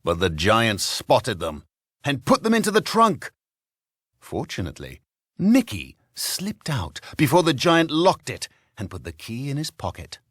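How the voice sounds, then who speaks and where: Man, children's story narration, dramatic, unhurried, dry close sound. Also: medium pitch, wildly swooping pitch, fairly bright tone, dry recording.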